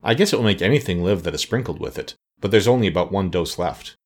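A man's voice: sits low, animated, quick pace. A man voicing a plain-spoken, practical young boy in a children's storybook, blunt and colloquial. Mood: explaining.

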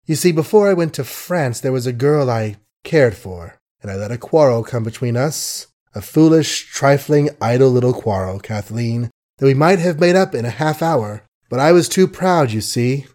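Storybook lovesick young gentleman, self-mocking and impassioned, voiced by a male reader. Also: medium pitch, expressive, normal speed, few pauses, close to an everyday voice. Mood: desperate. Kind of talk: audiobook character voice.

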